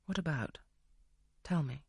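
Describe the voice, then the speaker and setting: An audiobook reader voicing a brusque, imperious gentleman of the manor who questions bluntly. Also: mid-range voice, a little slow, vocal fry, slightly rough, no room sound, clean studio sound, heavily acted. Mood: probing. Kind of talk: audiobook character reading.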